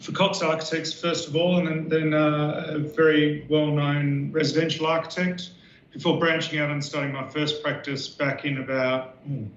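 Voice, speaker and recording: A man's voice: a little high, normal speed. A man, a guest architect talking casually with lots of fillers and repeats. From Western Australia. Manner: relaxed, many fillers and repeats. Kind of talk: casual interview talk. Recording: roomy, dull lo-fi sound with faint noise.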